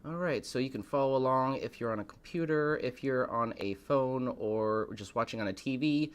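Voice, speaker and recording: A man hosting a live stream, a little hoarse, greeting chat and building up the evening with showy, dramatic lines. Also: medium pitch, moderate pace. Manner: showy, dramatic build-ups addressed to viewers. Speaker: host of a live stream show.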